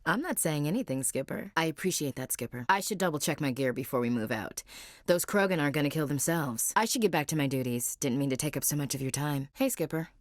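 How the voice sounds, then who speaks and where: A woman's voice: low-pitched, lively intonation, fast, no echo, clean studio sound. An actress voicing a frank, wry young woman soldier in a video game, plain-spoken and devout. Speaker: marine gunnery chief. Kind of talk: video game character dialogue.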